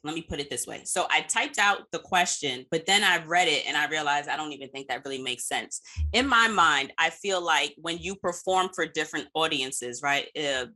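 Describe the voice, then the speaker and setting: A woman's voice: sits low, animated, normal speed, bright, forceful tone, low-quality recording. A woman hosting a casual podcast interview, talking in an informal, slangy way with some swearing. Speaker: podcast host.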